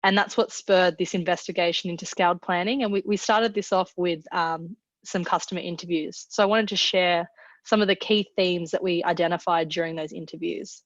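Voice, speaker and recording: A woman's voice: lowish voice, steady tone, fairly quick, dry recording, highs cut off. A woman from Australia with an Australian accent, a product manager giving an upbeat, conversational webinar talk. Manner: upbeat, conversational, small restarts. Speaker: product manager, guest speaker. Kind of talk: webinar presentation.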